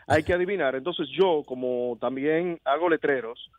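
A man's voice: fairly high pitch, normal speed, no echo. A man with a Dominican accent calling a radio show over a phone line, casually telling a story. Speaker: radio caller. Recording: thin, narrow phone line.

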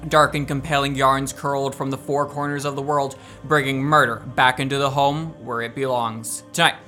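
A young man acts as a droll host of an old-time radio mystery playhouse. He speaks with formal theatrical relish and a deadpan, macabre wit, in a medium-pitched voice. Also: moderate pace, soft background music, close to an everyday voice. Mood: ominous, wry. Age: young adult.

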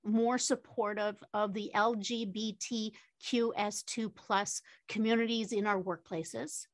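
A woman's voice: medium pitch, fairly even intonation, a little slow, no room sound. A woman moderating a panel discussion, chatty, with many fillers and tag questions.

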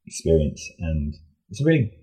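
A man with a very deep voice, dark and husky in tone. He talks casually as a guest in an interview. His explanations wander a little, with hesitant phrasing. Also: very animated, normal speed. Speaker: audio drama creator and sound editor, interview guest.

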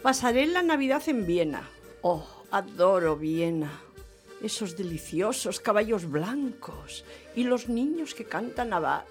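A woman's voice: medium pitch, very animated, unhurried, soft background music. An actress playing an imperious, gushing lady who dotes on her pampered dog, in an affected, theatrical radio drama manner.

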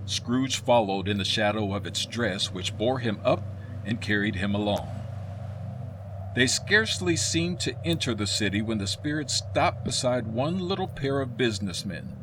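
A man narrating a scripted radio play in a solemn, measured storytelling manner. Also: on the low side, background noise.

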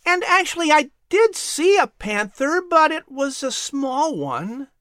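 A woman's voice: sits high, big pitch swings, measured pace, a bit bright, no room sound, clean studio sound, pitched above the performer's own voice, heavily acted. A woman voicing an eager, persuasive, sweet-natured nine-year-old boy from colonial days in an audiobook. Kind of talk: audiobook character voice.

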